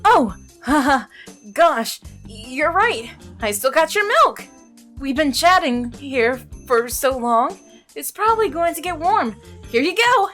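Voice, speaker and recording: A woman's voice: high voice, animated, takes it slowly, fairly bright tone, heavily acted. A woman voicing a shy, naive, nerdy young milkman in a visual novel, stammering and earnest. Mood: oblivious, friendly. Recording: music behind the voice.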